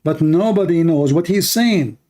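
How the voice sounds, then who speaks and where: A man's voice: fairly high pitch, normal speed, warm tone. A man with an Arabic accent hosting a call-in show, questioning callers insistently and telling stories with animation. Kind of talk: call-in debate.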